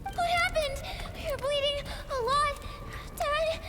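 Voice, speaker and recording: A woman's voice: very high voice, fairly even intonation, slow. A woman playing a scared, worried young daughter in a game cutscene, with anxious, emotional delivery. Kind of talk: dramatic game cutscene acting. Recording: long hall reverb, music behind.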